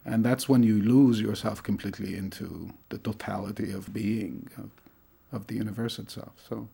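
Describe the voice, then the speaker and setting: A middle-aged man from Tunisia, a lecturer, talking thoughtfully in an interview, with many pauses and fillers. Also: fairly low pitch, steady tone, creaky, warm tone, husky. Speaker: lecturer in Arabic studies. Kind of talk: conversational interview.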